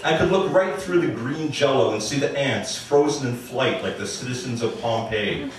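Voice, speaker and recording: A man giving a live author's reading of first-person prose in a measured, dry storytelling manner. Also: mid-range voice. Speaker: author reading at a literary event. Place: indoor literary party, before an audience. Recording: echoey room, distant mic, faint noise.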